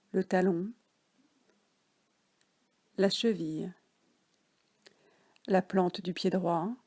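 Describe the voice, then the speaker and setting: A French woman guiding a yoga nidra relaxation session, speaking softly with some words whispered. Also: mid-range voice, slightly rough. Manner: slow, soft, partly whispered guidance. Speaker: relaxation guide.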